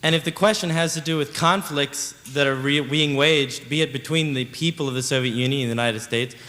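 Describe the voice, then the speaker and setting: A man's voice: on the high side, a little fast, bright, forceful tone. Young man asking a question in a hall. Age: young adult. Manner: earnest, wordy, searching for words. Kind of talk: town hall question from the floor. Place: large lecture hall. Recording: long reverb in a large hall.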